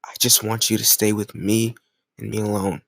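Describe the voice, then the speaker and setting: A man's voice: lowish voice, level tone, moderate pace, creaky, a bit bright, dry recording. A man playing a jealous, stubborn young lover in an intimate romantic audio roleplay, speaking emotionally and insistently in casual language. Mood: earnest, tender. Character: jealous, stubborn young male lover confessing his love.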